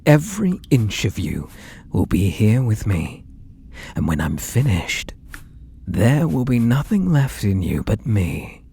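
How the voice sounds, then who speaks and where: A British man voicing an obsessed, possessive artist and menacingly tender lover in a breathy, theatrical ASMR roleplay, drifting into whispers. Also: fairly low pitch, very animated, takes it slowly, mellow, full character voice. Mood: smug, adoring. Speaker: audio roleplay voice actor.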